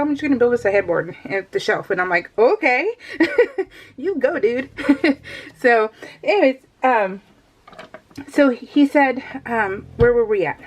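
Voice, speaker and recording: A middle-aged woman chatting casually as a craft vlogger, giggly and easily sidetracked as she rambles along. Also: on the high side. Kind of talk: casual chat, rambling vlog.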